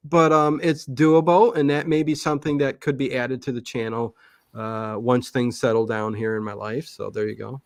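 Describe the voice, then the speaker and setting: A man's voice: medium pitch, fairly even intonation, normal speed. A man chatting casually as an interview guest, rambling and often hesitating and correcting himself. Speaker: guest, online old-time radio channel host.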